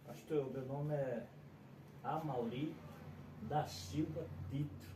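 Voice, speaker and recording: A man's voice: medium pitch, slow pace, recorded from a distance. A man with a Northeastern Brazilian accent from Alagoas, an evangelist guest giving plainspoken, respectful answers about his life. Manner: plainspoken, respectful, keeps addressing the pastor. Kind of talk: testimony interview answers. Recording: echoey room from afar with faint noise.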